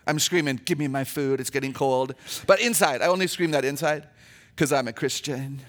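A middle-aged woman with a naturally low voice, a chatty, humorous and earnest guest speaker giving a sermon with personal stories in a church. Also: normal speed.

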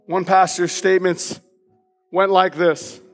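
A man with a high, loud and passionate voice preaches from the pulpit and reads declarations aloud with emphasis. His words ring out with a long hall reverb. Soft instrumental music plays underneath. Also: flat delivery, slow pace. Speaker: pastor. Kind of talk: sermon. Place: church sanctuary.

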